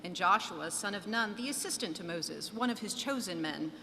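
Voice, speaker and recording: Bright-voiced woman reading scripture. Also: medium pitch, animated, moderate pace. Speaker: scripture reader, narrator. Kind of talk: expressive scripture narration. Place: large church indoors. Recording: reverberant church, audible noise.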